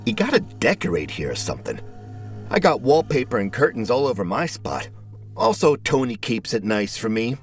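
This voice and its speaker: A man voicing a chatty, nosy, eccentric male neighbor in an audio story, with a folksy, rambling, excitable way of talking. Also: mid-range voice, moderate pace, soft background music, pitched above the performer's own voice.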